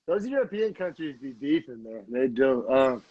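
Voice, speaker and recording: A man's voice: medium pitch, very animated, normal speed, breathy edge, no echo. A young man co-hosting a podcast in casual chat, rambling with lots of fillers and restarts. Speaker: podcast co-host. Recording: dull, dry lo-fi sound.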